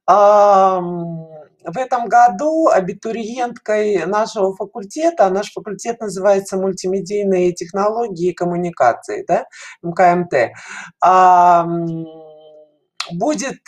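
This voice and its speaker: A middle-aged woman explaining things conversationally as an interview guest, halting and often rephrasing, on a headset in a video call. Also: medium pitch. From Russia. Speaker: guest, children's animation studio educator. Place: indoor room, video call.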